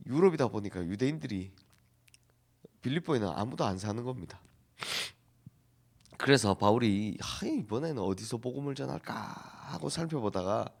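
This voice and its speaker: Gravelly, hoarse man preaching with a cold. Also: fairly low pitch. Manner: lively preaching, asks questions and answers them. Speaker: pastor. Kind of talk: dawn prayer sermon.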